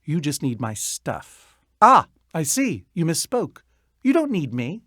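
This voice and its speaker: A middle-aged man voicing an eccentric, lonely, excitable researcher in a video game, with verbose, pompous, theatrical diction. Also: on the high side, measured pace, dry recording, studio quality, heavily acted. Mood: wounded, resentful. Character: eccentric, lonely, excitable male researcher obsessed with studying creatures. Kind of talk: animated video game voice acting.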